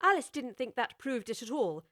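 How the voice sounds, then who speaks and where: A woman reads a storybook aloud in an even, measured way, clearly recorded on a studio mic. Her voice sits fairly high, and the sound is dry and close. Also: dry recording. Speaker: narrator. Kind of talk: storybook narration.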